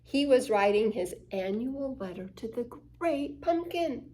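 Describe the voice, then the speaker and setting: A woman reading a picture book aloud to children, expressive, with gasps and little asides. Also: fairly high pitch, unhurried. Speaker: children's story reader.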